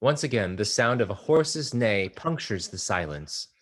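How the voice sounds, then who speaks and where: A man reading stage directions aloud at a play table read, plain and steady. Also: lowish voice, a little slow.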